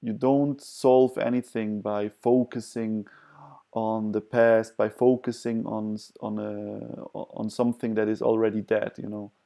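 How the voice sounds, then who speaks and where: Male, non-native English speaker, for an advice video. Mellow, even voice with little melody, halting and hesitant, searching for words. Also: lowish voice, creaky. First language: not English. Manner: hesitant, halting delivery with long pauses and repeated words. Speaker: video presenter sharing personal advice. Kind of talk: unscripted advice talk to camera.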